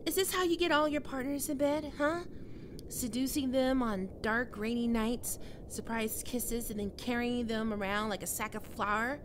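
A woman voicing a prickly, flustered young catgirl roommate in an intimate ASMR roleplay, halting and defensive but secretly soft. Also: on the high side, steady tone, background noise, close to an everyday voice. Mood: mock outrage.

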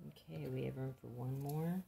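A woman's voice: very deep voice, fairly even intonation, normal speed, creaky, warm tone, a little hiss or hum. An older woman giving a casual, plain-spoken how-to demonstration, recorded indoors in a kitchen. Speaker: gardening demonstrator.

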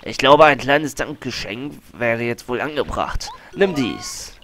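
Ein Mann spielt einen aufgeblasenen Abenteurer. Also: male voice, mid-range voice, expressive, slow pace, fairly bright tone, a little hiss or hum. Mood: pompous. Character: pompous male explorer archaeologist, boastful. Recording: faint game music behind.

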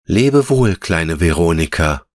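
A man voicing a wise, gentle female elf in a fairy-tale audiobook, speaking in a measured, patient and tender way. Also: low voice, expressive, no echo, studio quality, exaggerated voice. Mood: tender farewell. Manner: measured, patient, lyrical explaining.

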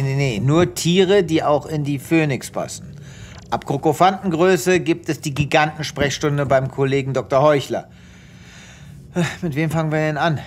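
An actor playing a self-important space veterinarian with a pompous manner in a comedic audio drama. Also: male voice, fairly high pitch, close to an everyday voice. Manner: pompous, reassuring bedside chatter.

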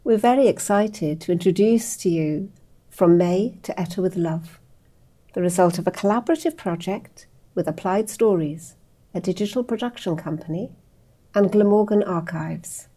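Calm, mellow middle-aged woman presenter. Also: on the low side, breathy edge. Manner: measured, formal. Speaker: archive outreach presenter. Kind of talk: scripted introduction. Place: small room indoors. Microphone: lapel mic.

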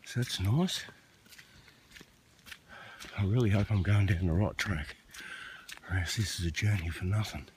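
A man's voice: sits low, unhurried, long pauses, a little mellow, slight background noise. A man chatting casually to camera while walking a rainforest track, a little out of breath. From Australia. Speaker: vlogger. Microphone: handheld camera.

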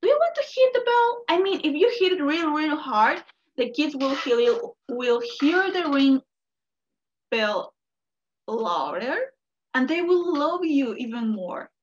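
A woman's voice: high voice, big pitch swings, measured pace, muffled recording. A woman voicing a clever, tricky male rabbit who coaxes and persuades. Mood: coaxing. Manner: coaxing and persuasive.

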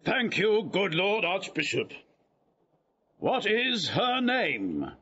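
Husky, hugely expressive king. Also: high voice, slow, dull audio, heavily acted. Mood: tender, regal. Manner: stately, theatrical. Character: regal king, a proud and grateful father. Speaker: actor playing a king. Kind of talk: Shakespearean audio drama acting.

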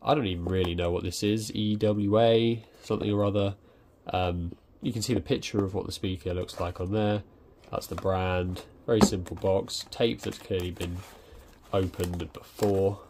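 A young man chats through an unboxing, his voice pitched very low. He hesitates often and restarts his sentences. A faint background noise sits under the recording. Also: steady tone, moderate pace. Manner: hesitant, lots of ums and restarts. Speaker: tech reviewer. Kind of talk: casual unboxing commentary. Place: indoors at a desk.

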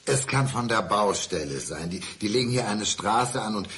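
A bitter, dangerous ex-husband in a radio crime drama. His low voice moves widely in melody while staying coldly polite and measured, over some faint background noise. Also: expressive, a bit bright. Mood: musing. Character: calm, menacing, embittered ex-husband with gallows courtesy. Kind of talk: 1990s German radio crime play acting.

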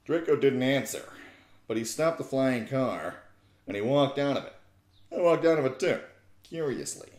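A man in his twenties giving a theatrical, mock-serious reading of fan fiction, deliberate in his delivery. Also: mid-range voice, animated, a little mellow, reverberant. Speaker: narrator, YouTube host. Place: small living room, indoors. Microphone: desktop USB microphone close by.

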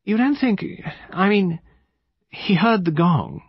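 A man's voice: high voice, animated, moderate pace, vocal fry, slightly rough, dry recording, muffled recording, pitched above the performer's own voice, full character voice. A man voicing a vague, flustered older upper-class English lady in an audiobook, with a hesitant, wavering delivery that trails off.

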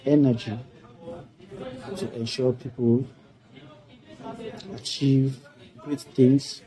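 A middle-aged man from Sierra Leone giving a formal testimonial about a project he completed, speaking in a slow, measured way on a video call. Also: medium pitch, fairly even intonation, warm tone, rough recording. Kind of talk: prepared testimonial speech. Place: indoor room. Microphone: webcam on a video call.

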